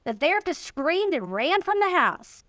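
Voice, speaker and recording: A woman reading a children's storybook aloud in an expressive storytelling way. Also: very high-pitched, normal speed, no echo, clean studio sound. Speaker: volunteer reader, nonprofit executive.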